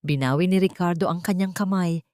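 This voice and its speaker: A woman's voice: lowish voice, brisk, darkish tone, no room sound, clean studio sound. A woman reading dramatic scripted narration for an audio drama story.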